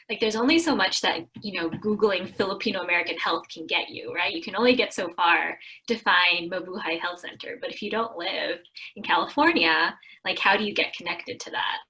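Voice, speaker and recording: A woman's voice: fairly low pitch, bright, forceful tone, rough recording. A woman raised in Iowa, a family medicine physician speaking conversationally with fillers. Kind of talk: video testimonial.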